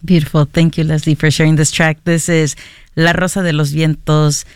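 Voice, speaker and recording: A male radio host with a gravelly, clear voice introduces guests and songs at a measured pace. His pitch stays level and steady. The studio sound is dry and close. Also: no echo. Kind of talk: radio interview hosting.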